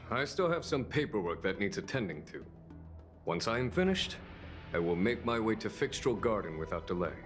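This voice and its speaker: Calm, wry general. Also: male voice, on the low side, faint music behind. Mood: measured. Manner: measured, polite, dryly amused. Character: calm, composed male general, courteous and wry. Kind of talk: game cutscene voice acting.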